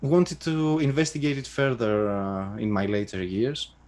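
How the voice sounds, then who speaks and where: A man from Greece with a Greek accent, a guest in an interview, speaking English thoughtfully with many pauses. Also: medium pitch, fairly even intonation, darkish tone. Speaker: guest, adventure writer. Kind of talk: casual interview answers.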